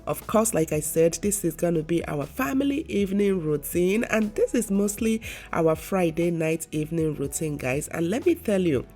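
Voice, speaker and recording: A woman's voice: lowish voice, expressive, moderate pace, few pauses, warm tone. A woman lifestyle vlogger talking casually to her viewers. She has a congested, stuffy nose from a severe cold and rambles chattily with lots of fillers. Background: faint background music.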